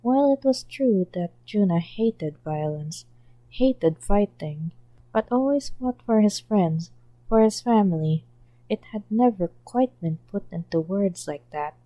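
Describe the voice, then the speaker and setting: Mellow-voiced woman reading fan fiction. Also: mid-range voice, animated, a little slow, no echo, poor audio. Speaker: podfic reader. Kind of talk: scripted narration.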